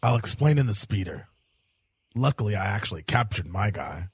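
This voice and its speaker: A very raspy, dry-recorded detective acted by a man in an audio drama. He is cynical and pragmatic, a hard-boiled cop who defends his own methods in a lowish voice. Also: sing-song, moderate pace, no room sound, exaggerated voice. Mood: pragmatic, weary.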